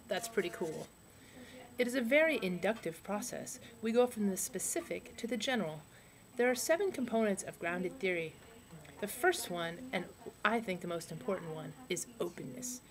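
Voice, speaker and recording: A woman voicing a deadpan male sociologist puppet, reading out a comic lecture in a casual way. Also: mid-range voice, moderate pace, lightly acted. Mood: lecturing.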